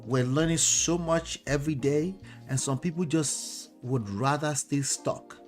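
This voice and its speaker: A man's voice: a little high, measured pace, faint music behind. Emphatic Nigerian man commenting. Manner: emphatic, with frequent tag questions like "okay?" and "you know". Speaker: relationship coach. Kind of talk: casual commentary reading viewer comments.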